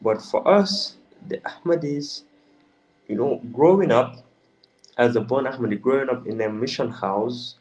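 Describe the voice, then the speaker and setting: A young man from the Gambia, answering interview questions in a hesitant, halting way with many restarts. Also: medium pitch, a little mellow, low-quality recording. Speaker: interview guest.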